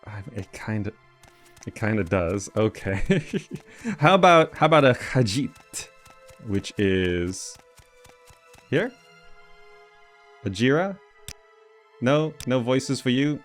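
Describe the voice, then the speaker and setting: A man's voice: mid-range voice, very animated, slow pace, pauses often, faint music behind. Musing man giving casual game commentary. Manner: musing, hesitant, thinking aloud. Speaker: gaming video host.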